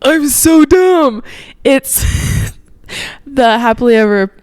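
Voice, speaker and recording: A young woman chats as a podcast co-host in a slightly high voice. Her delivery is informal and giggly, with a self-deprecating streak. The sound is close and dry, from a handheld mic. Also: animated, slow, darkish tone, dry recording. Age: young adult. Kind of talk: casual chat. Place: living room, indoors. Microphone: handheld microphone held close.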